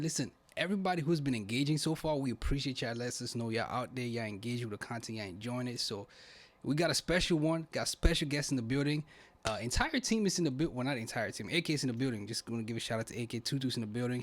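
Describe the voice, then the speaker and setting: A man's voice: medium pitch, expressive, fast talker. A man hosting a podcast interview in a casual, informal, slangy way. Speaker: podcast host.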